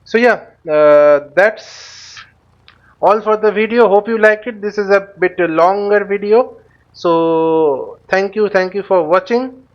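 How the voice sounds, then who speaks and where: A man hosting a flight simulator tutorial, thinking aloud in short, halting phrases as he casually walks through what he is doing. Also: high voice. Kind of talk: casual spoken walkthrough while flying a simulator. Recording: dull, lo-fi microphone sound.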